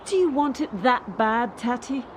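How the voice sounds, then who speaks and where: An actress plays a resolute young woman athlete in a radio play. The voice is high and animated, casual and frank, over faint background noise. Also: measured pace. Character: defiant young female gymnast quitting the sport.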